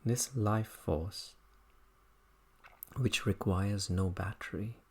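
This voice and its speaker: Low voice meditation teacher doing a body scan, super slow n thoughtful, lots of halting phrases. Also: a little mellow, breathy edge. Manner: unhurried, contemplative, halting phrases.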